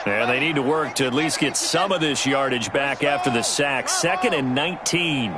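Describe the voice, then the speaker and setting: A man with an American accent playing a polished play-by-play announcer, calling a football video game in crisp, emphatic TV style. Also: mid-range voice, normal speed, close to an everyday voice. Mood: emphatic, engaged. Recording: loud stadium crowd noise behind. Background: stadium crowd, game noise.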